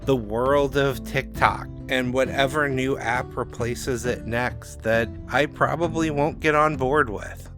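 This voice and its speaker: A middle-aged man speaking as author and host in measured, scripted podcast commentary. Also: medium pitch, fairly even intonation, over music.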